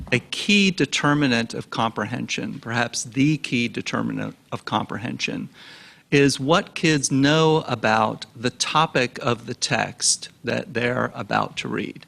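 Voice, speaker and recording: A man's voice: mid-range voice, creaky, a bit bright. A man on an expert panel giving a fluent, lecture-like explanation with everyday examples. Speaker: cognitive psychologist on a panel.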